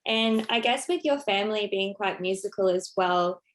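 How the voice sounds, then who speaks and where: A woman interviewer asking relaxed, friendly questions with hesitant fillers. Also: medium pitch, normal speed.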